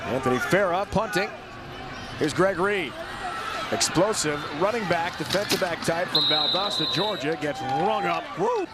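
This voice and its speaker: Play-by-play man, American, somewhat high voice, excitable on big plays, loud outdoor stadium noise. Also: normal speed. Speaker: play-by-play announcer. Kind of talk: live American football game commentary. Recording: very noisy outdoor stadium broadcast.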